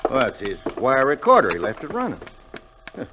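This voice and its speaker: A take-charge man in an old radio suspense play. His pitch swings widely, and he is soothing, with hesitant uhs between phrases. The vintage recording has a light layer of noise. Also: wildly swooping pitch, brisk, lo-fi sound. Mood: calm, reassuring. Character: steady, take-charge radio station man hunting for missing colleagues. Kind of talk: 1940s radio suspense acting. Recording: noisy, clicking 1949 radio recording.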